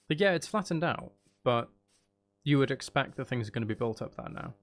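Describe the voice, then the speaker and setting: A man's voice: mid-range voice, lively intonation, fairly quick. A man casually chatting on a live game stream, musing aloud and talking to viewers as he plays. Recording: faint background noise.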